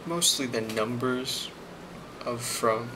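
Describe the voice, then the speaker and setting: A man playing a friendly, rambling office boss in an ASMR role play, speaking softly and hesitantly with a reassuring manner and a creaky voice. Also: mid-range voice, slow pace, close to an everyday voice. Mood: calm, casual. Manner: soft-spoken, hesitant, reassuring. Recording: loud constant background noise.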